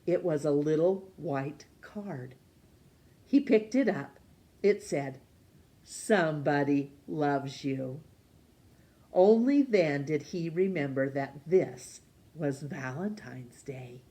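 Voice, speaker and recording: Slow, very expressive woman reading a storybook. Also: sits low. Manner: expressive, with dramatic pauses and emphasis. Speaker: storytime reader. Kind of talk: animated children's storybook narration.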